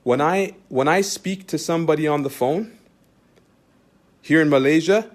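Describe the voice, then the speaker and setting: Canadian man, teacher type, emphatic monologue, keeps asking "you understand?", voice sits a bit high. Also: normal speed. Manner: emphatic, repetitive, rhetorical questions. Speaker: Islamic teacher. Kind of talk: direct-address monologue to followers.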